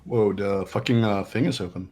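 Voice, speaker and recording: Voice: low-pitched, steady tone, normal speed, crowd noise. Someone chatting casually with friends during a video game playthrough.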